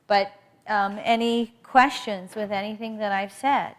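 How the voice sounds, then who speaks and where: A woman teaching an astrology workshop in a loud, emphatic voice, repeating key points for her class. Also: medium pitch, steady tone, unhurried. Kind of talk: workshop lecture.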